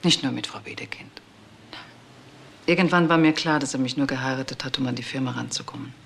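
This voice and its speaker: Bitter mother with a creaky voice. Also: rumbling low, a bit bright, slight background noise, full character voice. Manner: halting, confessional. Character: bitter betrayed wife and mother of two sons. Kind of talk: German crime radio play acting.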